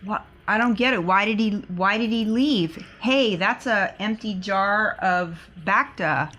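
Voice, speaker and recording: A woman's voice: mid-range voice, a little slow, a bit bright. A woman playing a naive, puzzled wanderer in a tabletop roleplaying game. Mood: baffled. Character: slow-witted, bewildered wanderer, innocently open-mouthed.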